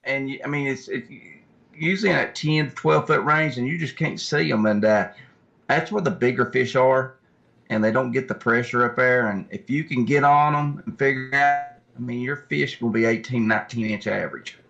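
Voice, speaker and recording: A man's voice: medium pitch, moderate pace, vocal fry, fairly bright tone. A man speaking as a guest, an angler explaining things casually in a plain-spoken, informal way, recorded in dull lo-fi sound. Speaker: guest angler, tournament fisherman. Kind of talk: casual chat, recounting and explaining.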